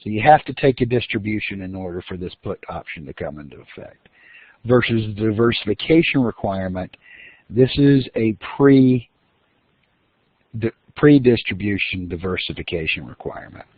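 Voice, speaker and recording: A man's voice: on the low side, lively intonation, moderate pace, no echo. A man giving a recorded lecture as the instructor, explaining step by step with fillers and restarts. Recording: dry, phone-like lo-fi audio.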